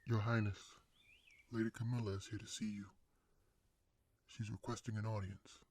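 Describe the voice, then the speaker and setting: A man's voice: lowish voice, level tone, moderate pace, darkish tone, a little hiss or hum, natural voice. A man voicing a formal, deferential royal servant in an audio roleplay. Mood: formal, dutiful. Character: deferential male royal servant announcing a visitor.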